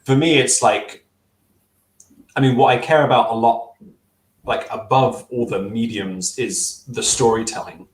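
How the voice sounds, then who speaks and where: A man's voice: medium pitch, measured pace, reverberant, low-quality recording. A young man on a video call with a headset, answering interview questions casually, thoughtful and hesitant with many fillers and restarts. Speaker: stop-motion filmmaker guest. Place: small room at home.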